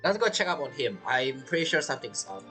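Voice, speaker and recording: Casting: male streamer, medium pitch, chatty informal commentary with non-native grammar, faint game music underneath. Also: big pitch swings, fast talker. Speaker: streamer, gamer. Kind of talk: casual gameplay commentary.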